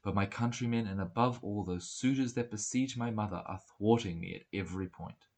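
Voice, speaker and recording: A man's voice: fairly low pitch, brisk, a little mellow. A man voicing a troubled young prince in an epic audiobook, speaking with solemn, formal earnestness. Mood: pleading. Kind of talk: dramatic audiobook character reading. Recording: roomy echoing home recording.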